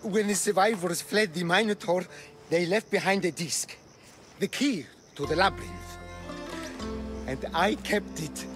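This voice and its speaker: An actor playing a guilt-ridden, gentle old Greek man in a video game cutscene, grave and halting. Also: high-pitched. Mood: grave, regretful. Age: older. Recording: loud music behind.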